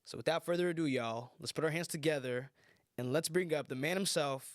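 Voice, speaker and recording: A man's voice: on the high side, a little fast, dry recording, clean studio sound. A young man hosting a podcast in a casual, upbeat way, laughing often. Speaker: podcast host.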